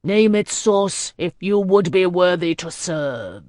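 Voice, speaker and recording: An ancient, sorrowful lord of the sea, put on by a woman reading a fantasy audiobook. He speaks in slow, grave, booming phrases, low in pitch and unhurried. The recording is close and dry, with no room behind it. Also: expressive, darkish tone, no room sound. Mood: solemn.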